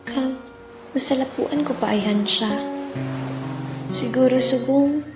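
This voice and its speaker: Woman playing a lovestruck youngster, echoey, highish voice. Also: slow. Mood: longing. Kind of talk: romantic audio drama acting. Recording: echoey, guitar music behind.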